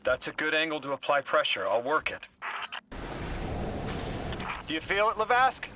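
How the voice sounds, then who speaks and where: A man's voice: on the high side, very animated, moderate pace, background noise. An actor playing a cocky, driven young male intelligence operative in a video game, urgent and confident. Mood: taunting. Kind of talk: video game dialogue acting.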